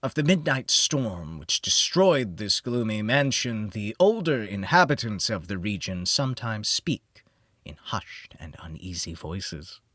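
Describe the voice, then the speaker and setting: Guy doing dramatic gothic horror narration, slow and brooding, big swings in pitch, really dry recording. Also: big pitch swings, pushed and bright, no echo. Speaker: audiobook narrator.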